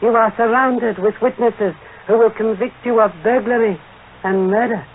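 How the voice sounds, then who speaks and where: An actor playing a shrewd, courtly Chinese detective inspector, formal and aphoristic, with clipped grammar, in old radio mystery style. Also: male voice, sits high, steady tone, moderate pace, close to an everyday voice. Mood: calm, triumphant. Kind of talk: 1940s radio mystery acting. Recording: tinny, noisy old radio recording.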